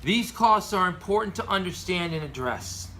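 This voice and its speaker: A man delivers earnest prepared commentary in a somewhat high, very bright and forceful voice. He reads as a presenter, now and then tripping over a word. There is faint noise behind him. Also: animated, normal speed. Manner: earnest, occasionally stumbling over scripted words. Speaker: aviation commentator presenting a show segment. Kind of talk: prepared commentary read aloud with informal asides.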